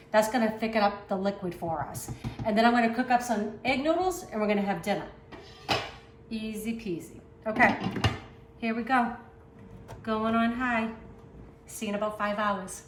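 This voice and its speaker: A woman with a Northeastern US accent hosts a casual cooking demonstration. Her voice sits a little high, and she chats upbeat as she works. The home kitchen gives it a roomy echo, with faint cooking sounds behind. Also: slight background noise. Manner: chatty, upbeat, talks while working. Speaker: cooking channel host.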